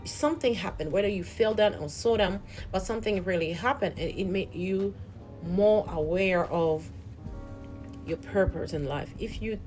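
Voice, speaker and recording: A woman's voice: fairly low pitch, darkish tone. A woman hosting a channel, giving an earnest, reflective spiritual motivational talk that often repeats and restarts words. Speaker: host.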